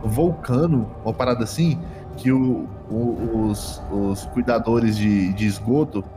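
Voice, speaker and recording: A Brazilian man improvising tabletop game narration as game master, halting and often repeating his phrases. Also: on the low side. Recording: long hall reverb, music behind.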